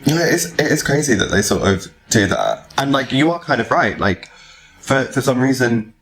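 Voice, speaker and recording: A man's voice: mid-range voice, very animated, moderate pace, fairly bright tone, soft background music. A man in his thirties hosting a podcast, telling stories in a jokey, informal, gossipy way. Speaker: podcast host. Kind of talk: casual chat interview, animated storytelling.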